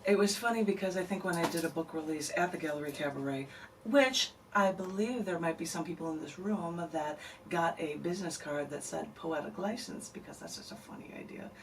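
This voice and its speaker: A woman's voice: lowish voice, a little fast. A woman poet being interviewed on a radio show, talking in a chatty, rambling way and laughing often. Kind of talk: casual radio interview chat. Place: radio studio. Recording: distant mic, faint noise.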